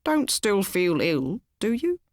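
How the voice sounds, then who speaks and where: A woman voicing a kindly middle-aged husband, fussy, gently sceptical and reassuring, in an audiobook story. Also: medium pitch, big pitch swings, slow pace, no room sound, clean studio sound. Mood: solicitous. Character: kindly middle-aged husband, cheery, solicitous, a bit dull.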